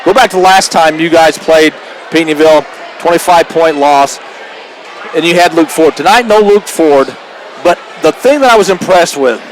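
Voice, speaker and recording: A man's voice: on the high side, moderate pace, a faint crowd, a little hiss or hum, low-quality recording. A man working as a radio sports interviewer, questioning a coach after a game. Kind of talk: postgame interview.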